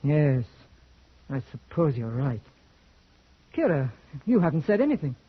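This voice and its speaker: A resolute male hunter speaks in a high-set, rough-edged voice. He is earnest, clipped and theatrical, as in 1940s radio plays. The recording is dull with age. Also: sing-song, a little slow, heavily acted. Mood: sober, reflective. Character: resolute male rifleman, terse hunting companion. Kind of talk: 1940s radio drama acting.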